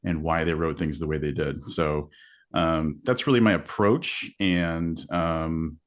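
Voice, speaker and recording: A man's voice: extremely low pitch, measured pace. A man, a book podcast host, speaking on a video-call panel in a conversational, hesitant way with many fillers.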